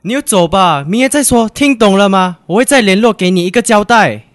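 A man's voice: sits high, lively intonation. A young man acting in a radio drama as an evasive, cornered two-timing boyfriend who speaks hesitantly. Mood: evasive, curt. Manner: halting, hesitant.

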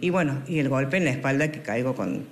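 A woman in her forties with an Argentine accent, recounting her accident haltingly at home. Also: a bass voice, expressive. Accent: Argentine Spanish. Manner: hesitant, with fillers. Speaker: accident victim interviewed. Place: indoors at home.

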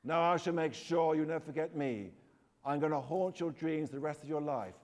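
A man's voice: fairly high pitch, normal speed, a little mellow, natural voice. A man reads as a huge ghostly wolfhound from a storybook. It speaks with solemn command and menace, reverberating in a big hall. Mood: vengeful. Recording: echoey hall, long reverb.

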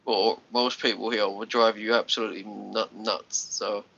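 A man's voice: fairly low pitch, level tone, normal speed, gravelly, pushed and bright, slightly rough, dull audio. Congested Scottish man on a phone livestream. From Scotland. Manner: rambling, restarts words, chats to commenters. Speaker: livestream host. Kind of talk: casual live chat with viewers. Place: small bedroom, lying on a sofa. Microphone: phone held at arm's length.

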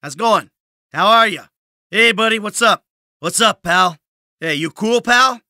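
A man's voice: high voice, expressive, a little slow, sharp tone, no room sound, clean studio sound, exaggerated voice. A man playing a cynical, short-tempered middle-aged American criminal in a video game, with a blunt, sardonic tough-guy delivery. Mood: sarcastic, casual.

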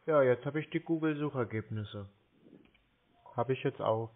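Man on a telephone-quality line, mid-range voice, stalling and grumbling, slow on the uptake. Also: medium pitch. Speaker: scam baiter posing as a victim on a call. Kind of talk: phone conversation, stalling. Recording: narrowband telephone-like sound.

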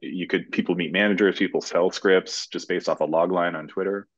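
A man in his thirties, a screenwriter casually telling his story in a halting way, over dull, dry video-call audio. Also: deep voice, steady tone, dry recording. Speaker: screenwriter being interviewed. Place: indoor room, video call.

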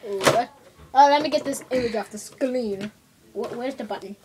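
A woman's voice: fairly high pitch, normal speed, crowd noise, lightly acted. A woman playing a silly, scatterbrained, playful video game sidekick in an improvised amateur skit.

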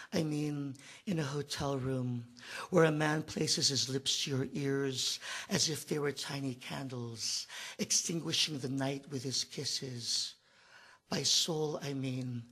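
A man in his thirties on a theater stage, performing spoken word at a stand mic, moving from a frantic comic rant to a hushed lyrical lament. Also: medium pitch, breathy edge. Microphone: stand mic, far camera.

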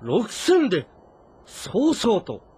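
A man playing an earnest, composed warrior commander who speaks in a measured, probing way in a game drama. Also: high voice, wildly swooping pitch, mellow, a little hiss or hum, full character voice. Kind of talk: dramatic audio-novel game acting.

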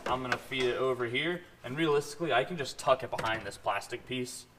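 A man's voice: mid-range voice, fast, very noisy. A man in his twenties giving a casual, hands-on tutorial to camera in an informal, folksy way, recorded in a home garage. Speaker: host of a car modification vlog. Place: home garage, partly inside a vehicle.

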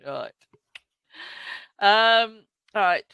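A woman hosting a video call interview, reading out audience questions slowly between brief acknowledgements. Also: mid-range voice, expressive, gravelly, bright, forceful tone, smooth, dry recording, lo-fi sound. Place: home room.

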